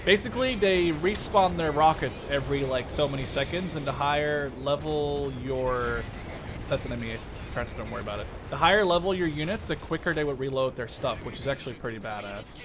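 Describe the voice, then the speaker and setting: A man's voice: mid-range voice, brisk. A man in his twenties giving casual, rambling gameplay commentary, often interrupting himself. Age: 20s. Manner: rambling, self-interrupting, informal with mild swearing. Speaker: gaming video maker. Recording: game battle noise behind voice. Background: artillery, gunfire and vehicle sounds from game.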